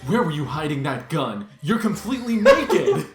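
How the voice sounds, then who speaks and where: A low-pitched woman joins a group reading with lively, swooping delivery. She banters casually, giggly and incredulous, in an echoey room. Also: moderate pace. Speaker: player and commentator in a group reading. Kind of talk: casual joking banter and read-along. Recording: roomy echo with faint music.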